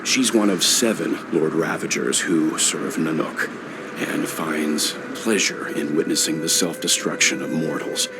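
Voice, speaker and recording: Calm older mentor, very low voice, slow and measured, hiss behind. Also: male voice, exaggerated voice. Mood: grave. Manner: measured, grave, deliberate. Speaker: game character. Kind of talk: game dialogue voice acting.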